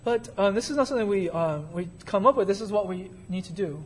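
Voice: medium pitch, expressive, fast talker, slightly rough. An interpreter rendering a Chinese sermon into English line by line, hesitant at times. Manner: hesitant, with fillers and restarts while translating.

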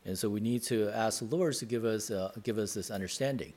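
A man interpreting a sermon phrase by phrase, halting and repeating words as he searches for phrasing. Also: fairly low pitch, darkish tone.

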